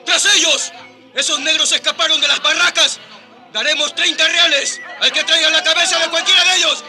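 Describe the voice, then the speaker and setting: Shrill, ruthless manhunt leader. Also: male voice, fairly even intonation, brisk, pushed and bright, full character voice. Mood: frantic, ruthless. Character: frantic colonial manhunt leader, ruthless and shouting. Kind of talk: radio drama acting. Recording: radio drama with crowd and music.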